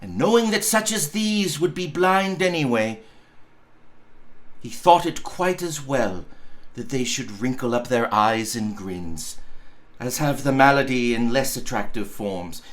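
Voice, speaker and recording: A man, a pastor, reading a story aloud in an expressive, deliberate way with dramatic pauses. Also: medium pitch.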